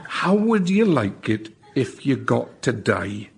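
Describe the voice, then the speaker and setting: Man, comic pitch, unhurried, very varied intonation, deliberate pauses, faint audience noise. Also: big pitch swings, creaky. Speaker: member of the public pitching an idea. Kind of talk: reading out a prepared comic pitch.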